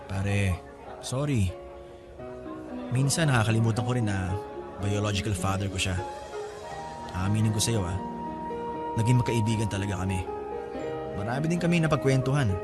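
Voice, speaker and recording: Low-voiced, laid-back older brother played by a man. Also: moderate pace, pauses often, lightly acted. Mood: apologetic, reflective. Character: laid-back, candid womanizing older half-brother in Filipino radio drama. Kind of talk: Tagalog radio drama dialogue, conversational. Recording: music bed behind the voice.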